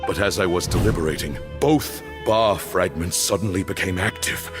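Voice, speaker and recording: Man voicing a deep, stern temple leader. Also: lightly acted. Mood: solemn, measured. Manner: formal, measured, grave. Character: stern temple leader, bitter, solemn and commanding. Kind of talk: dramatic video game voice acting. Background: background music.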